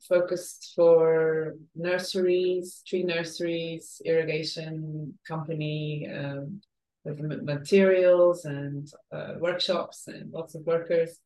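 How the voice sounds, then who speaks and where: A woman's voice: deep voice, darkish tone, poor audio. A woman from southern Portugal answering as a podcast guest in a reflective, hesitant, conversational way.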